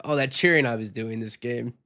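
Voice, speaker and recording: A man's voice: medium pitch, brisk, pushed and bright. A man hosting a casual postgame podcast, reading viewer comments and giving frank opinions, sick and losing his voice. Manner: frank, rambling, reads viewer comments aloud. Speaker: podcast host.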